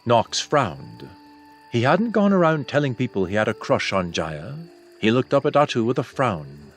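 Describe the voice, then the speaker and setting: Lively male fantasy audiobook narrator. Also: medium pitch, normal speed. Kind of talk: scripted fantasy novel narration. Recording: soft music behind the voice.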